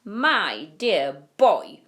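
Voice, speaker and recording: A woman's voice: medium pitch, sing-song, drawn-out words, a bit bright, heavily acted. Woman reading as an enthusiastic old grandfather. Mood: fond, enthusiastic. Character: enthusiastic, fond grandfather over ninety. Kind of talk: children's storybook character reading.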